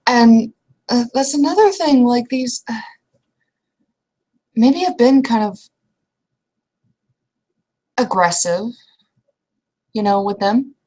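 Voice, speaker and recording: A woman's voice: a little high, slow, long pauses, mellow. A young woman improvising in-character roleplay conversation, speaking casually and hesitantly with frequent restarts. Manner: casual, hesitant, trailing restarts with mild swearing. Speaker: roleplay player.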